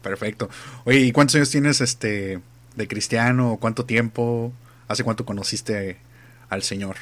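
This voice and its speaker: Laid-back interviewer. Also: male voice, mid-range voice, measured pace. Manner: relaxed, hesitant, many fillers. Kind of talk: recorded online interview.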